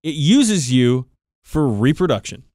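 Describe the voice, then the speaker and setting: A man's voice: mid-range voice, very animated, unhurried, darkish tone, dry recording. A man co-hosting a podcast, chatting casually and enthusiastically about tabletop game lore with plenty of swearing. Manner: profane, enthusiastic, informal. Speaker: podcast co-host.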